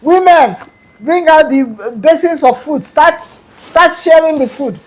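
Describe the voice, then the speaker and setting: A woman's voice: high voice, slow, voice pushed up, rough recording. A woman storyteller voicing a proud king who commands his people to serve a feast. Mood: commanding. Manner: commanding, issuing orders.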